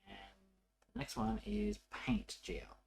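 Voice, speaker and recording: A man presenting a programming tutorial in a casual, unscripted way, haltingly thinking aloud as he works. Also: high-pitched, wildly swooping pitch, gravelly, darkish tone, soft background music. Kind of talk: casual coding walkthrough, unscripted.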